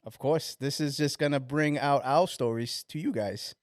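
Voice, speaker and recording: A man, co-hosting a casual podcast in an easygoing way and chiming in with quick agreement. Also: mid-range voice, no echo, clean studio sound. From Queens, New York. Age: 30s. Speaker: podcast co-host. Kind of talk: casual chat.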